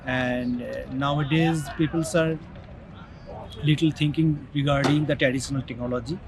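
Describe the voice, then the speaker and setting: A man from West Bengal, an architect talking haltingly with many fillers in an outdoor interview. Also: on the high side, poor audio. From West Bengal, India.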